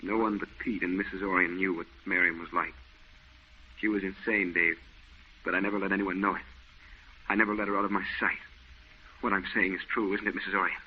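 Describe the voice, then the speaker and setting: An actor playing a haunted, fearful, guilt-ridden painter in an old radio horror play. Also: male voice, deep voice, little pitch movement, fairly quick, pauses often, a little hiss or hum, lightly acted. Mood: brooding confession. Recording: dull, band-limited old radio recording.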